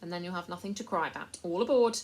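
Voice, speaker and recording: A woman's voice: lowish voice, quick pace, a little hiss or hum, lightly acted. A woman voicing a greedy, coarse middle-aged male slave trader who talks in a wheedling way.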